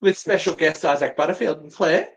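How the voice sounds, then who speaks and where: A woman's voice: on the low side, a little fast. A woman co-hosting a live show, chatting casually with dry wisecracks. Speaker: co-host of a paranormal live show. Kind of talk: casual, bantering chat.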